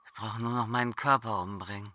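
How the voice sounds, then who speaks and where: An actress playing a despairing, self-pitying mother in a radio play, with a low, muted voice. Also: fairly even intonation, brisk, bright, forceful tone, exaggerated voice. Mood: bleak despair.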